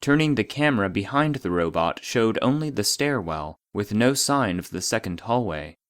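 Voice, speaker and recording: A formal, impersonal archive narrator voiced by a man reads a scripted report with clinical detachment. The voice sits fairly low and is recorded dry, with no room around it. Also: expressive, moderate pace, dry recording, close to an everyday voice. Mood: clinical, detached. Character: formal, impersonal male voice of a secret archive database.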